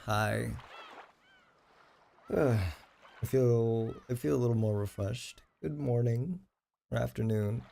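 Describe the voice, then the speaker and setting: Male streamer, late 20s, low-key dark tone with vocal fry, loose sweary rambling, slight noise behind. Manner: rambling, profane, self-deprecating. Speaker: game livestreamer. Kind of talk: casual livestream chat.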